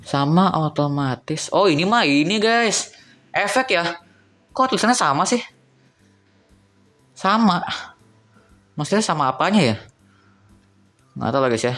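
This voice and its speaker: A man's voice: fairly high pitch, animated, measured pace, pauses often, a bit bright, faint music behind. A young man, casually reviewing a cheap phone on camera, thinking aloud and talking to viewers as guys.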